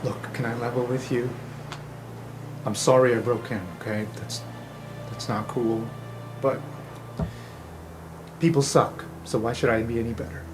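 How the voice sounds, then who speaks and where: A man playing a defensive, sullen young male dark elf student in an improvised tabletop game, guarded and sarcastic in manner. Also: mid-range voice, steady tone, unhurried, long pauses, natural voice, low-quality recording. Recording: music under the voice.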